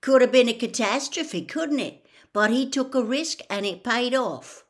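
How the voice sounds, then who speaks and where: A woman's voice: on the high side, animated, unhurried, voice pushed up. A woman voicing an envious, grumbling teenage boy in a storybook, blunt and exasperated. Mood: argumentative.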